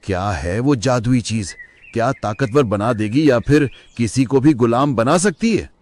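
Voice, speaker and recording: A man's voice: mid-range voice, animated, unhurried, a little mellow, natural voice. A man voicing a boastful, power-hungry wolf villain in a cartoon moral story, with a domineering manner. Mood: greedy, boastful. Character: boastful, power-hungry male wolf who claims to be king.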